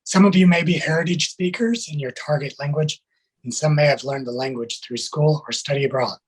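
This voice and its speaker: Man, military sergeant, slightly high husky voice, formal, careful, prepared interview answers. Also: brisk, poor audio.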